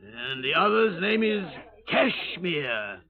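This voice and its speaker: A high, gravelly Cockney informant in an old radio crime drama. He is cheeky and wheedling, always deferential as he angles for a few coins. The sound is narrow and tinny. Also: very animated, slow, exaggerated voice. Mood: eager, gossipy. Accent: London Cockney. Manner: ingratiating, deferential. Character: wheedling male pub informant, hard up and cheeky. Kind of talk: 1950s radio drama acting.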